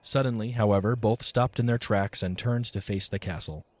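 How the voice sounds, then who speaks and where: A man narrating a scripted dramatic story in a measured, descriptive storytelling manner. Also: on the low side, fairly even intonation, no room sound.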